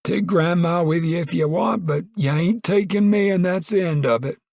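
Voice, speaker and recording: A man voicing a stubborn, cantankerous old male farmer with a drawl who cusses defiantly in a high, shrill voice. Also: takes it slowly, vocal fry, a little mellow, slightly rough, no echo, heavily acted. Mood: angry.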